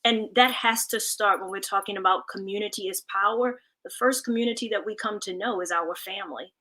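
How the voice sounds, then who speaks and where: Bright, forceful woman speaking on a panel. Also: mid-range voice, brisk. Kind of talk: thoughtful panel commentary.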